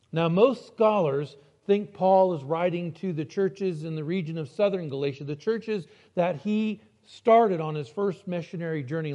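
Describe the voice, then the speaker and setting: A man delivering a church sermon, slowing down to stress key words. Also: fairly high pitch, dark tone, muffled recording. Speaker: preacher. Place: church building.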